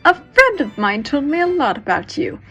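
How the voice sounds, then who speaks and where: A woman's voice: high voice, big pitch swings, moderate pace, over music. A woman playing a haughty, menacing sorceress villain in an audio drama, cold and mocking. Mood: haughty, threatening.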